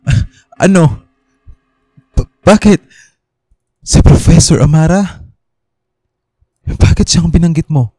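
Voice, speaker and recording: An actor playing a fearful but determined young student who sees spirits, earnest and stammering, in a radio drama. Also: male voice, on the high side, slow, long pauses, warm tone, slightly rough, no echo. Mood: bewildered.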